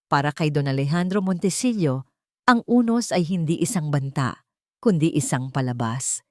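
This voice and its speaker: A woman's voice: lowish voice, a little mellow, no echo, studio quality. A woman reading a scripted romantic drama story as its narrator, in a dramatic storytelling style.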